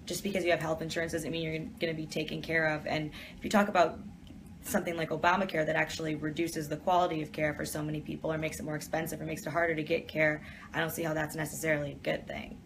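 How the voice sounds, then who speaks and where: Woman, young, low voice, casual live Q&A, reads questions aloud, office, faint background hiss. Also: little pitch movement, fast. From Detroit, USA. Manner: reads questions aloud and answers in a chatty, offhand way. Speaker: TV panelist answering viewer questions.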